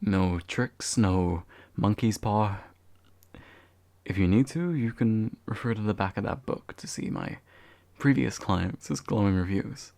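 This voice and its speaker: A courteous demon, acted by a man, speaks in a dark, mellow voice with a gravelly fry. He is gentle, hesitant and formally polite, taking his time in a soft ASMR roleplay meant to comfort. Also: on the low side, expressive, unhurried, dry recording. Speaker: ASMR voice actor.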